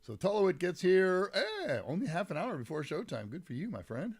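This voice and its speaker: A man hosting a casual live tech stream, chatting with viewers by name and rambling a little, his voice a bit deep and congested from a summer cold. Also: wildly swooping pitch, brisk, slightly rough, no echo. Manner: rambling, greets chat viewers by name, frequent fillers. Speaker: livestream host. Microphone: mic set some distance away.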